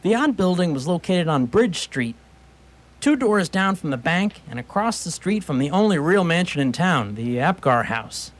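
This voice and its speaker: A man tells a radio theatre story as its narrator. His voice is on the high side and swings widely in pitch. His phrasing is wry and wordy, with a literary bent. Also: sing-song, moderate pace.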